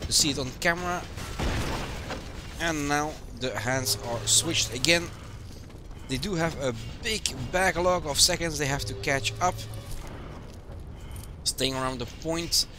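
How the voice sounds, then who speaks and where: Dutch man caster with a cold, steady play-by-play, higher voice, loud game music. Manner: calm running play-by-play. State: has a cold. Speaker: esports stream caster. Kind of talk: live game commentary.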